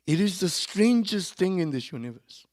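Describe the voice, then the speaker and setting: Man preaching, soft then forceful. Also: high-pitched, very animated. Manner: swings from soft asides to loud emphatic preaching; rapid recitation of Arabic verse. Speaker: religious speaker at a memorial gathering. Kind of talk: sermon. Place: hall at a community centre.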